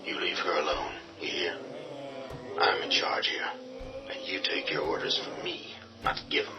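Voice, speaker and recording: An actor playing a calm, firm man who takes charge of the survivors in a radio drama. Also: a bass voice, moderate pace, heavily acted. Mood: stern. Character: steady male leader of the survivors. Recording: dull lo-fi audio with music.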